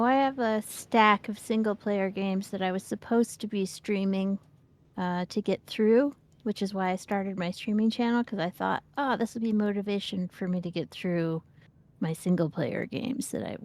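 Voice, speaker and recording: A woman's voice: mid-range voice, measured pace. A woman in her fifties chatting casually and candidly about gaming as a streamer. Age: 50s. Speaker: game streamer, co-host.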